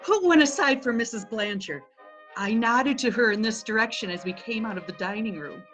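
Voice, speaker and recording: A woman's voice: a little high, normal speed, a bit bright, faint music behind, lightly acted. A woman playing a vain, proud, wealthy society dowager of seventy, haughty and imperious, reading in a readers theatre play.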